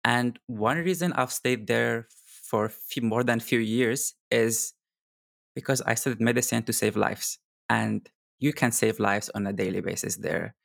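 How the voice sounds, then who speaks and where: A man's voice: mid-range voice, measured pace, vocal fry, fairly bright tone, no echo, studio quality. A man who grew up in Kuwait, speaking earnestly in his third language as a doctor being interviewed. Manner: earnest, with occasional restarts in a non-native English. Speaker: guest doctor. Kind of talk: casual interview talk.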